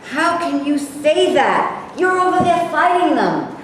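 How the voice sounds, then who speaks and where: A woman's voice: high voice, very animated, slow pace, heavily acted. A woman playing a brash, opinionated, combative man in an amateur staged play reading, blunt and needling in manner. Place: echoey room, staged reading. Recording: very echoey room, faint noise.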